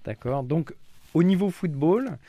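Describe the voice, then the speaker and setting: A man's voice: on the high side, expressive, unhurried, warm tone, no echo. A man hosting a weekly radio football show in the studio, greeting guests and asking questions with frequent hesitations.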